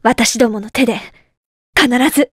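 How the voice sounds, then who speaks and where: A woman's voice: fairly high pitch, moderate pace, slightly rough, no room sound, clean studio sound. An actress playing an earnest young female legal assistant in a game, speaking in a very formal and polite way. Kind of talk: game voice clip. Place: studio.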